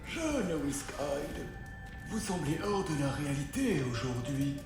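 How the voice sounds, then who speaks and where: Someone doing a grave formal spiritual teacher, measured speech, super echoey, music underneath, fantasy audio play. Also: medium pitch, very animated. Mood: solemn. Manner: slow, formal, solemn. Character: solemn, measured spiritual guide of a fantasy school. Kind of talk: French audio fantasy drama acting. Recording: heavy echo with music behind.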